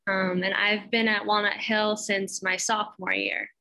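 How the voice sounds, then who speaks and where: A woman's voice: medium pitch, sing-song, unhurried, vocal fry, bright, forceful tone, poor audio. A teenage girl from Boston, a drama student, speaking earnestly with some fillers. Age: late teens.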